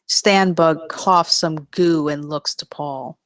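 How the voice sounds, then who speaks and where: Low-voiced woman reading stage directions. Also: steady tone, takes it slowly, fairly bright tone, no echo. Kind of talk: scripted narration at a live comedy table read.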